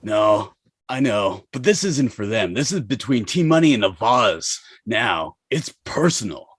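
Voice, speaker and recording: A man playing a cocky, worn-out bro ghost with a husky voice in a table read. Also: on the low side, sing-song, measured pace, dry recording, clean studio sound, heavily acted. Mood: determined.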